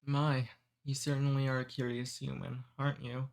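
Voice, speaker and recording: A courteous demon who abducts humans speaks softly and at an easy pace, as a man acts him out in an ASMR roleplay. He is amused and gently teasing, never threatening, and his voice sits in a plain middle register. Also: mid-range voice, completely flat delivery, normal speed, vocal fry, lightly acted. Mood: amused cordial.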